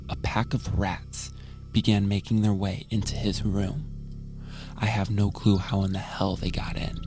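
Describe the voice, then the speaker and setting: A man acts out a cold, haunted male researcher telling a horror story for a radio drama. His voice is deep and crackles with fry. The delivery is measured and brooding, like a grim first-person confession.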